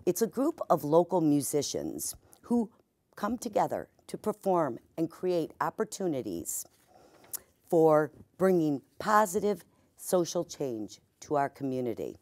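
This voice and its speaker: A woman in her sixties hosts a studio talk show in a deep, low voice. She introduces guests at a measured pace on a lapel mic. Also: sing-song, a little mellow. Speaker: host. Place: TV studio set.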